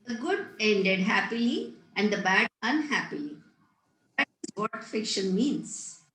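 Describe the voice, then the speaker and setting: A woman's voice: mid-range voice, expressive, a little slow, fairly bright tone. A woman playing a prim, pompous older governess in a table read of a Victorian comedy, formal, didactic and scolding. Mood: lofty. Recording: roomy, echoey home recording.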